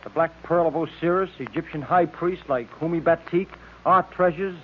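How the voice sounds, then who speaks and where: A man plays a plain-spoken, hearty police sidekick in a 1940s-style radio play. His voice is a little high and his manner folksy. The recording is thin, like a phone line. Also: moderate pace, slight background noise, natural voice. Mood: relieved, marveling. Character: hearty, folksy police partner of a detective hero. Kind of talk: 1940s radio drama acting. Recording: tinny old radio recording.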